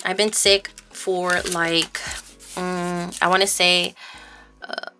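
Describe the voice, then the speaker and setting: A woman vlogging about budgeting in casual, informal chat, speaking in a halting, stop-and-start way. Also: lowish voice, level tone, over music. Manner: informal, halting storytelling interleaved with counting. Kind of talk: casual chat while counting cash aloud.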